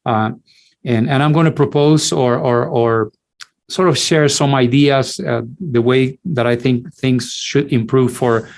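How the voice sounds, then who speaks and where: Halting male doctor lecturing. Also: medium pitch, steady tone, gravelly. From Cuba. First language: Spanish. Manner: halting, many restarts and repeated words. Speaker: infectious disease physician, lecturer. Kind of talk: online lecture.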